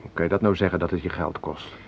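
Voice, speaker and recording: An actor in a radio play playing an earnest, lovestruck young man who speaks in a halting, pleading, bewildered way. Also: deep voice, fairly even intonation. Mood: shocked, protesting. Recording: muffled, hissy old radio play recording.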